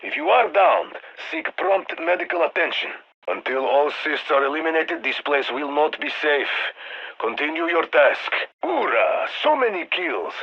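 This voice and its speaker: A commanding Russian soldier in a military video game. He is raspy, fairly high for a man, and speaks in clipped, dry orders with a Russian accent. The sound is slightly dull, as if through a radio. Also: heavily acted. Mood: stern, commanding. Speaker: mission handler.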